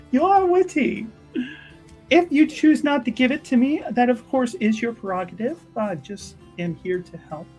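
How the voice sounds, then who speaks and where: A woman playing a gentle, earnest, pious priestess who offers solace in formal, halting words over music. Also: on the high side, pitched above the performer's own voice, lightly acted, low-quality recording. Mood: gracious.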